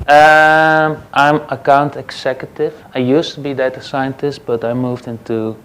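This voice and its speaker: A man's voice: mid-range voice, level tone, fairly bright tone. Easygoing man with a Dutch accent. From Netherlands. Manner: easygoing, a bit halting, restarts phrases. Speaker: panel guest. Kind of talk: conference panel talk. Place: conference stage.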